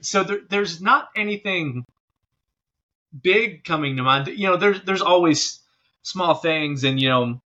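A man's voice: on the high side, expressive, a little slow, pushed and bright, lo-fi sound. A man speaking casually and informally as an interview guest, a working musician talking about his job. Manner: informal, often checking in with "you know". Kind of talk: casual chat.

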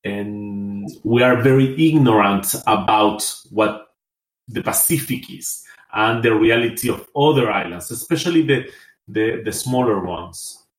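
Man from Rapa Nui, lowish voice, halting and thoughtful, roomy sound. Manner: hesitant, thoughtful, many fillers and restarts. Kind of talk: conversational interview.

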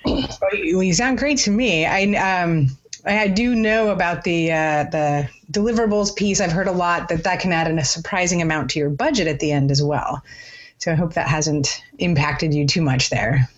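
A woman co-hosting a podcast, asking questions in a thoughtful, halting, conversational way. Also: on the low side, highs cut off. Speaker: podcast co-host, interviewer.

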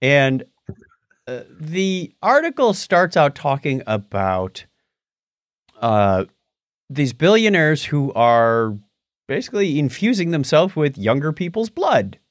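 A male podcast host in casual news-chat mode. His pitch moves around in a lively way, and his delivery is conversational and halting, with a mildly skeptical edge. The sound is close and dry. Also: no echo.

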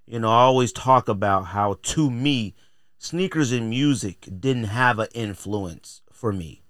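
A man's voice: mid-range voice, animated, measured pace, fairly bright tone, dry recording, studio quality. A middle-aged American man hosting a podcast, talking casually in blunt, slangy, opinionated style. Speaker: podcast host.

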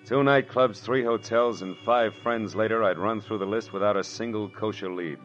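A man plays a tough private detective from a 1940s radio serial. His low voice is clipped and wry, full of hard-boiled sarcasm. Music runs behind the dull vintage recording. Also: steady tone, normal speed, a bit bright, lightly acted. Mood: wry narration. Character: tough, wisecracking male private detective narrating his case.

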